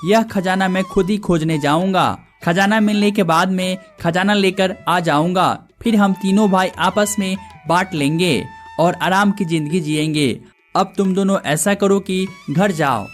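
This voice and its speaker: A man's voice: sits high, measured pace, soft background music. Man voicing cartoon village brothers. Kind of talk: cartoon dialogue acting.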